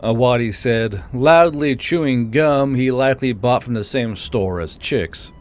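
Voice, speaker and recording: A man's voice: mid-range voice, unhurried. A man reading scripted fiction as an audiobook narrator.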